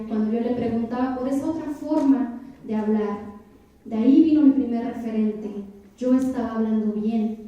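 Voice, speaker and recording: A young woman from Oaxaca, Mexico, giving a reflective keynote lecture to a university audience, often pausing on fillers and putting questions to the crowd. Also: fairly high pitch, warm tone. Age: 20s. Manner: frequent hesitation fillers. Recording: echoey hall, voice from far off.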